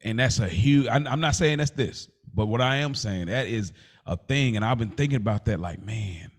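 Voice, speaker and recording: A man with a lowish voice speaks as a podcast co-host in a casual, slangy style. He takes a roundabout path through his points, thinking aloud as he goes. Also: normal speed.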